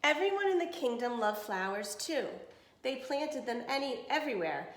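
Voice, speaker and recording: A woman with a fairly high voice reads a children's story like a teacher. She tells it with expression and pauses for explanations and questions. The room around her is clearly echoey. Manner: animated storytelling, pausing to explain and question listeners. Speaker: teacher reading a picture book aloud. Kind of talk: expressive children's read-aloud with asides and explanations.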